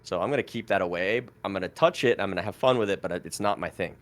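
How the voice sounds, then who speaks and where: A man's voice: low voice, fast. A man in his thirties, born in France with French as his first language, telling his story as a podcast guest in a rambling way full of fillers and restarts. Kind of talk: casual storytelling interview.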